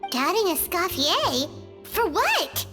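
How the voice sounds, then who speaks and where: Very high, squeaky little game companion. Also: female voice, very animated, slow, exaggerated voice. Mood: puzzled. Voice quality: squeaky, childlike. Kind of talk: video game voice acting. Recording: long reverb, music behind.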